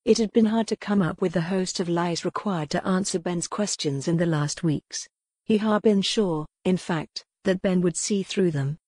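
A woman's voice: lowish voice, moderate pace, a little mellow, dry recording. A woman narrating a historical novel as scripted dramatic audiobook fiction.

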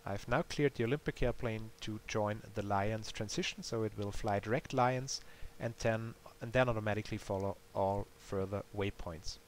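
Man narrating a tutorial over clear hiss. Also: fairly low pitch, normal speed, few pauses. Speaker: developer demonstrating a simulator game.

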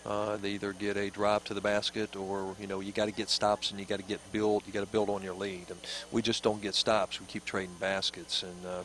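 Middle-aged coach talking fast on a headset, flat low voice, lots of you know, faint arena noise. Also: male voice. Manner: rapid, repeats phrases, many fillers. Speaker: head coach. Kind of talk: postgame interview. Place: courtside table in an indoor arena. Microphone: headset mic.

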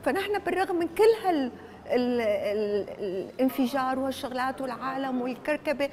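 A woman in her fifties speaks with a high, bright pitch and rattles along at a very fast clip. She tells the story animatedly, her voice captured up close on a lapel mic in an indoor school room. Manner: animated storytelling, vivid and quick. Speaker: school staff member. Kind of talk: interview recollection.

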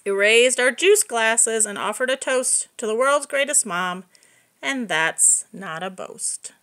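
A woman reading a picture book aloud to young children, expressive and explaining things simply. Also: fairly high pitch, takes it slowly. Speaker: preschool story reader.

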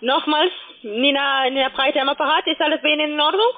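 A woman from Romania, speaking halting as a call centre agent on the telephone. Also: high voice. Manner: halting, non-native German. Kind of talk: sales phone call. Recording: tinny narrowband phone line.

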